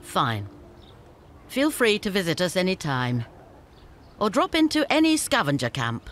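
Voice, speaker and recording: A shrewd, teasing scavenger leader. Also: female voice, fairly low pitch, sing-song. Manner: brisk, teasing, businesslike. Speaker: game character. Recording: game audio with faint music.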